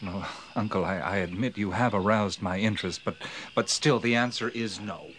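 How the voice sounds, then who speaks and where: An actor playing a skeptical, plain-spoken adventurer in an audio drama, wary but curious, questioning in a matter-of-fact, hesitant way. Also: male voice, on the low side, moderate pace, fairly bright tone, a little hiss or hum, close to an everyday voice.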